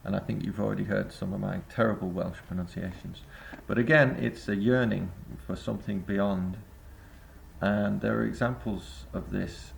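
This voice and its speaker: A man's voice: fairly low pitch, steady tone, moderate pace, vocal fry, slight background noise. A man giving a reflective spoken essay to camera as a vlogger, rambling and hesitant with many filler sounds. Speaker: vlogger and busking singer.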